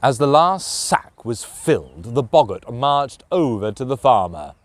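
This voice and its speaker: A man narrating a children's fairytale as a storyteller, with theatrical, deliberate phrasing. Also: medium pitch, very animated, no echo.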